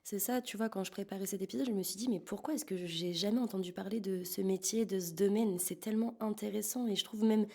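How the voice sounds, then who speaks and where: A woman interviews a guest for a podcast in a conversational way. Her voice is mellow and relaxed, sits at a middle pitch, and has a noticeable creak. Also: quick pace, hardly any pauses.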